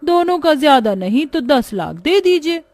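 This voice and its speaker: A woman plays a demanding mother of grooms in a cartoon tale. She bargains bluntly and insistently in a fairly high voice, with a dry, close sound. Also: very animated, normal speed, a little mellow, no room sound.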